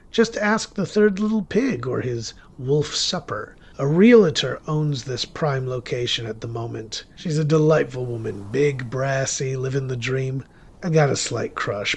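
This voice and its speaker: An actor playing a wry, secretive male witch who narrates a horror-thriller audio drama in a theatrical, deliberate manner. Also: medium pitch, vocal fry, breathy edge, exaggerated voice. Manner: wry, theatrical, deliberate, with sudden swells and drops. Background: music under the voice.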